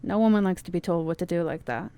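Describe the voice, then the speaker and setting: A woman acting in an audio drama, playing a calm, soothing young woman with a wry streak. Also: lowish voice, fast talker. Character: calm, teasing young woman, terminally ill.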